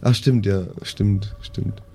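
A man's voice: lowish voice, steady tone, takes it slowly, vocal fry. A man improvising casually as a podcast player, hesitant and repeating himself.

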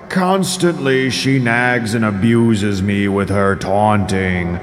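A man playing a troubled, commanding king of the gods in an epic audio drama, weighty, reluctant and deliberate, in cavernous reverb. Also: mid-range voice. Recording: long cavernous reverb with music behind.

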